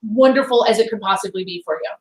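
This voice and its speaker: A woman's voice: mid-range voice, quick pace, a crowd in the background. A woman voice teacher leading a singing workshop for a group, friendly and encouraging, often asking the group questions. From came from Baltimore. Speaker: voice teacher, guest clinician. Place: rehearsal room with a group. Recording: echoey room, mic far off.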